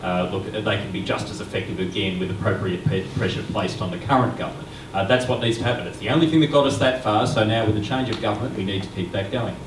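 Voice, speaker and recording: A man, a city councillor, answers at a residents' forum. He speaks in a measured, rallying way with frequent ums. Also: lowish voice. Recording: echoey hall, distant mic, background noise.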